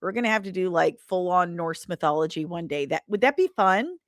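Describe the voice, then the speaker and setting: A woman hosting a casual livestream, talking in an animated, rambling and self-deprecating way. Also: fairly low pitch, a little fast, no echo, studio quality. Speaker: livestream host.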